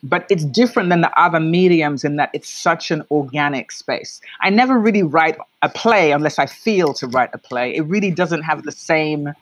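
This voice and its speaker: Expressive London woman, close dry sound. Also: low-pitched, normal speed, hardly any pauses, no echo. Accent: London British. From London, England. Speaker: interview guest, playwright. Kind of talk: reflective conversational storytelling.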